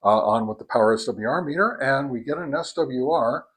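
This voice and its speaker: Man, mid-pitched voice, casual gear review, plainspoken, lots of fillers. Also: animated, brisk, fairly bright tone, slightly rough. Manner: plainspoken, many "uh"s and "all right?" tags. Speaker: ham radio reviewer.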